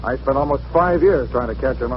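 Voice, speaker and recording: Actor as gruff police commissioner, muffled old radio. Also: male voice, medium pitch, brisk, slight background noise, close to an everyday voice. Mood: exasperated. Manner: blunt, slangy. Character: gruff, frustrated, plain-spoken male police commissioner. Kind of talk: 1940s radio acting.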